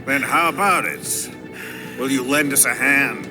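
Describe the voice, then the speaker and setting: An actor playing a confident, menacing mercenary boss with a deliberate, commanding delivery in a video game cutscene. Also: male voice, mid-range voice, natural voice, poor audio. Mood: persuasive, menacing. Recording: loud music under the voice.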